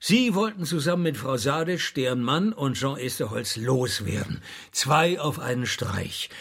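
An actor playing a dry, sardonic male private detective in a radio play, narrating and talking in a deadpan, needling way. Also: medium pitch, unhurried, slightly rough, heavily acted.

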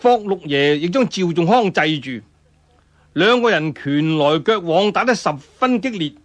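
Animated high-pitched Cantonese male storyteller. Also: a little slow. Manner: dramatic, colloquial, with spoken sound effects and interjections. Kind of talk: traditional Cantonese storytelling, animated martial-arts narration.

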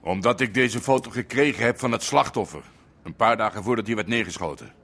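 An actor playing a commanding police commissioner in a radio drama, terse and authoritative. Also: male voice, fairly low pitch, brisk. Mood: curt, commanding. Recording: loud guitar music under speech.

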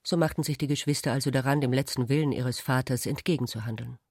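A man's voice: medium pitch, steady tone, fast, darkish tone, slightly rough, dry recording, clean studio sound. A man reading literary prose as a radio drama narrator.

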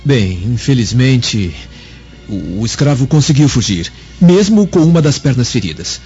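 An actor playing a cunning, vengeful young male slave who feigns deference in a radio drama, measured and insinuating, recorded muffled under music. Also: mid-range voice, big pitch swings, dark tone, slightly rough, heavily acted. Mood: deferential. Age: young adult.

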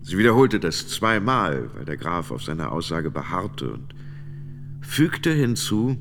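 A low male voice in long reverb over faint music. a middle-aged medieval knight giving radio-drama testimony. He is grave and formal, setting out his memories in measured, deliberate phrases. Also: big pitch swings, vocal fry, fairly bright tone, exaggerated voice. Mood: intense, quoting. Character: 56-year-old medieval French knight testifying gravely as witness.

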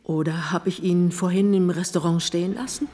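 A woman's voice: lowish voice, steady tone, normal speed, warm tone, lightly acted. An older woman playing an eccentric, prickly old mother in a radio play, blunt and needling. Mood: brusque.

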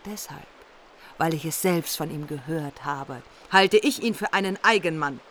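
A woman's voice: low voice, normal speed, a bit bright, slight background noise. A woman playing a proud, status-conscious warrior queen in a radio play, formal and haughty. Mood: proud, insistent.

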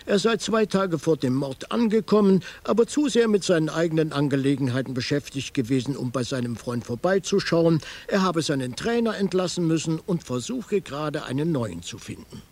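An actor playing a dry, observant detective who narrates his case in a radio crime play with wry, measured storytelling. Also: a little high, expressive, darkish tone. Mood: dry, matter-of-fact. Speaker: first-person narrator.

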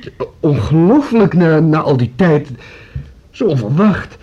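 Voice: medium pitch, very animated, measured pace, warm tone, slightly rough. An actor playing a bewildered, rational husband in a naturalistic Dutch radio drama with a Netherlands accent. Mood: stunned, excited. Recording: dull, muffled radio play recording.

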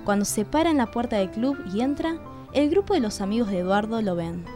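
A woman's voice: on the high side, animated, brisk, soft background music. A woman with an Argentine accent reading scripted narration for a radio drama.

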